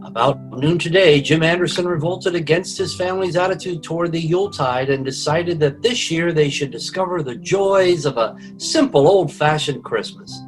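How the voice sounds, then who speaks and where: A man giving wry, scripted narration for a radio comedy, with deadpan asides. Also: on the high side, moderate pace, hardly any pauses, natural voice, poor audio. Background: music.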